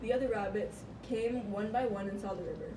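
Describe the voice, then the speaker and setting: Female puppet show narrator, scripted read with small stumbles, far-off mic and clear background noise. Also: mid-range voice, fairly quick. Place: room, live amateur puppet show. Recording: echoey, noisy room, far from mic.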